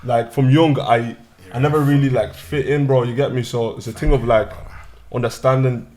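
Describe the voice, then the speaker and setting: A man in his thirties, born in Nigeria and raised in Ireland, chatting as a podcast guest in a small studio on a boom-arm desk mic, telling stories loosely with lots of "bro" and restarts. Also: fairly even intonation, a little mellow. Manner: fast, loose storytelling full of "bro" and restarts. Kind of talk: casual chat.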